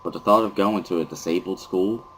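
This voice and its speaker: A man's voice: low-pitched, a little fast, a little hiss or hum, rough recording. A man giving a somber, weary first-person dramatic reading of a visual novel as narrator.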